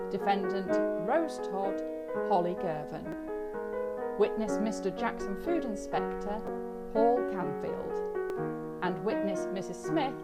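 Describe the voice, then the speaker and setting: A woman announcer reading scripted credits for a radio drama. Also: fairly high pitch. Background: piano or keyboard music.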